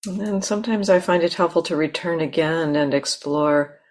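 A woman leading a guided meditation in a gentle, unhurried manner with long pauses. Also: sits low. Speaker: meditation teacher.

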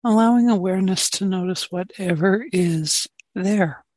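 A woman meditation teacher giving guided meditation in gentle, spacious phrases with long pauses between them. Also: medium pitch, no room sound.

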